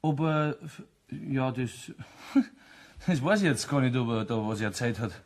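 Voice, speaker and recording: A man playing a sly, chummy locksmith with a husky voice and a Bavarian accent in a German audio play. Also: mid-range voice, expressive, unhurried. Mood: coaxing. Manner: hesitant, chuckling, folksy.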